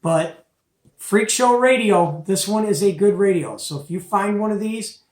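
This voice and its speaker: Man, middle-aged, higher pitch, folksy, opinionated, casual product demo, echoey room. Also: measured pace, darkish tone. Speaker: host of a CB radio show-and-tell. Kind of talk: casual product show-and-tell chat. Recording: echoey, roomy home recording.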